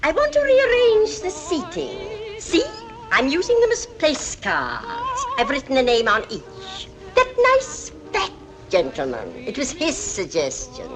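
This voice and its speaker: A woman's voice: extremely high pitch, huge pitch swings, measured pace, music in the background, heavily acted. An actress playing a fussy, bossy, effusive middle-aged society lady in theatrical 1940s film style. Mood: fussy, brisk.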